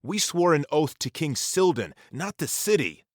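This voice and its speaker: A man's voice: a little high, very animated, normal speed, no echo, studio quality, voice pushed up. A man playing a large, mature, stern city watch commander in an audiobook, formal, guarded and terse. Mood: defiant, stern. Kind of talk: audiobook character acting.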